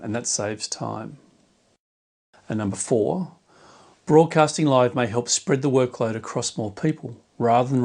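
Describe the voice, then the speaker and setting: A man who runs a church media team, delivering a scripted explainer video in a clear, measured way. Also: medium pitch, darkish tone.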